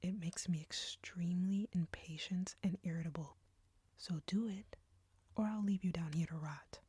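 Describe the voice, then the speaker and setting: A woman playing an arrogant, flirty vampire captor in an intimate audio roleplay, breathy and whispery, taunting and commanding. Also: on the low side, steady tone, normal speed, mellow, no echo. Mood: impatient, threatening.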